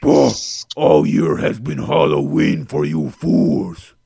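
A man putting on a gravelly cranky virus spirit. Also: mid-range voice, big pitch swings, mellow, dry recording, studio quality, voice pushed up, heavily acted. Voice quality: gravelly, husky. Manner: slow, cranky scolding. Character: grumpy gravelly coronavirus spirit, male, scolding.

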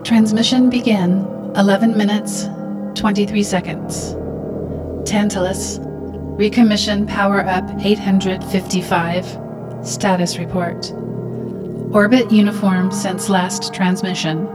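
An actress playing a brooding, detached outsider logging transmissions in a radio play, solemn and deliberate. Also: female voice, mid-range voice, steady tone, over music, close to an everyday voice. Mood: detached, clipped.

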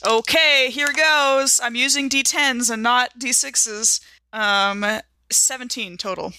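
A woman's voice: a little high, fairly even intonation, takes it slowly, bright, forceful tone, no echo. A woman bantering casually as a player in a tabletop role-playing game.

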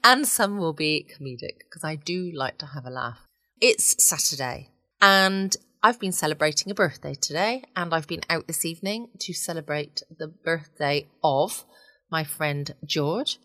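Low-voiced woman, cosy podcast host. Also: lively intonation, measured pace, pushed and bright, no echo. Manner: cosy, chatty, self-questioning asides. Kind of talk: relaxed bedtime podcast chat.

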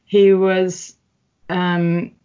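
Middle-aged woman, fairly low voice, reflective and chatty, muffled dull recording. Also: flat delivery, drawn-out words, dark tone, clean tone. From North West England. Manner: chatty, reflective, with frequent ums and restarts. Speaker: interviewee. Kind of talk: personal interview.

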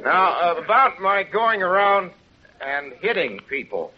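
Lively, halting small-town fellow. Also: male voice, high-pitched, exaggerated voice. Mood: halting, folksy. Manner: halting, folksy, sincere. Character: earnest, plain-spoken, folksy small-town young man. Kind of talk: 1930s radio drama acting. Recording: dull, noisy 1930s radio recording.

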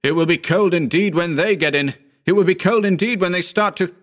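A man's voice: sits high, fairly quick, fairly bright tone, voice pushed up. A man voicing an overwrought, horrified male narrator-hero who exclaims urgently and melodramatically in a horror audiobook. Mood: frantic.

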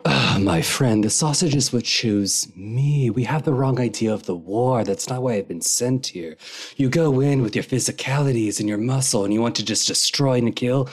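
A man's voice: mid-range voice, moderate pace, husky. A man voicing a self-important, flowery adventurer in a tabletop game. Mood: grandiose. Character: grandiose, self-assured male adventurer. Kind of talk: in-character roleplay.

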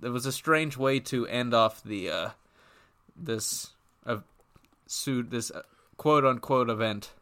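A man co-hosting a podcast in casual banter, speaking in a halting, conversational way. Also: medium pitch, fairly bright tone, clean tone. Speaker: podcast co-host.

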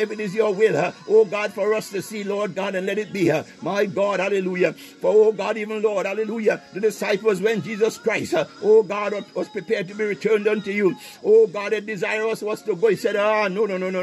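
High-voiced man in fervent prayer over music. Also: a little mellow. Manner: impassioned, punctuated with repeated exclamations of praise. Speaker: preacher leading a morning prayer.

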